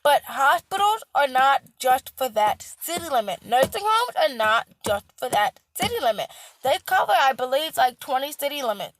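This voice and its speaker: A young woman who works in healthcare, hoarse with a cracking voice, lecturing bluntly, repeating herself and swearing for emphasis. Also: sits high, sing-song, moderate pace, low-quality recording. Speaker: healthcare worker. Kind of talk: casual vlog rant.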